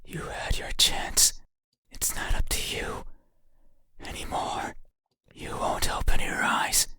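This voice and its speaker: An actor voicing a creepy, intimate bedside monster in a horror game, pleading and menacing in a breathy, insistent whisper. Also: pushed and bright. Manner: slow, insistent, eerily deliberate.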